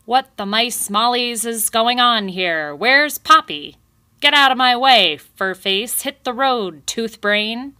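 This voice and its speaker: A woman's voice: fairly high pitch, lively intonation, slow, voice pushed up, exaggerated voice. A woman voicing a grumpy old male porcupine who barks rude insults at everyone. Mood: angry insulting. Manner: shouting insults.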